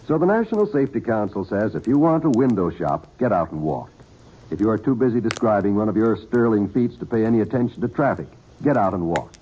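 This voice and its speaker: Man, radio announcer, scripted safety message, animated, lo-fi old broadcast. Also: medium pitch, a little hiss or hum. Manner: measured, emphatic announcer delivery. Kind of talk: scripted public service announcement.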